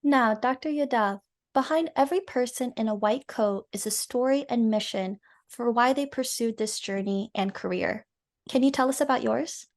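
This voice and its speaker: A woman host introducing a guest and asking polite, measured questions. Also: fairly high pitch. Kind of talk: scripted interview host.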